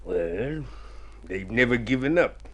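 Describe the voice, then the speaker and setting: A scheming, smooth-talking consul from vintage radio drama. He is theatrical and self-satisfied, coaxing his partner along like a man selling a crooked deal. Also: medium pitch, animated, slow, fairly bright tone. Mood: sly, persuasive. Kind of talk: golden age radio drama acting.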